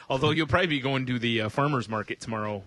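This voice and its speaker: A man's voice: medium pitch, quick pace, a bit bright, no room sound. A man co-hosting a podcast in casual comedic banter, with a dry, wisecracking and often profane manner. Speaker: podcast co-host.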